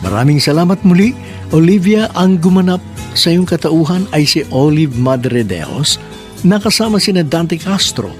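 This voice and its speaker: Higher-pitched Filipino male radio drama narrator. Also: lively intonation, moderate pace. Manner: formal, sentimental. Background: faint music.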